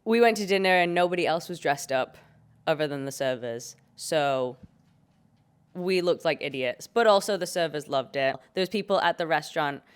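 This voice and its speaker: A young British woman hosting her own podcast in a relaxed, chatty, jokey way, her voice hoarse from a cold. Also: deep voice, normal speed. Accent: British English. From Britain. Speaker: podcast host. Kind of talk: casual chat.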